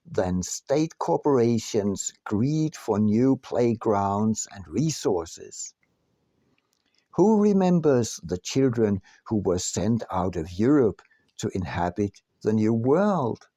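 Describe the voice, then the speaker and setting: A man narrating his own audiobook from a script in a deliberate, emphatic manner. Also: lowish voice, dark tone, no room sound. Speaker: author reading own book.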